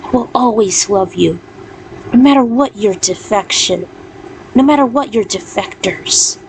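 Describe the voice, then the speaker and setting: A woman's voice: medium pitch, unhurried, natural voice. A woman voicing a tender, devoted male warrior in a story, earnestly consoling his beloved. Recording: clearly noisy background.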